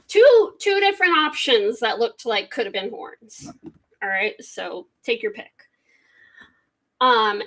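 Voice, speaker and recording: A woman's voice: on the high side, a bit bright, poor audio. A woman hosting a casual true-crime talk show, reading a court affidavit aloud and commenting on it in a chatty way. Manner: chatty, reads aloud then comments with swearing asides. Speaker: podcast host.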